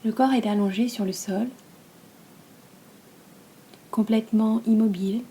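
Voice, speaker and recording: Soft, mellow French woman guiding relaxation. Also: a little high. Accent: French from France. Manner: soft, breathy, calm and soothing delivery; unhurried, with long pauses between phrases. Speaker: yoga nidra teacher. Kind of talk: guided relaxation meditation.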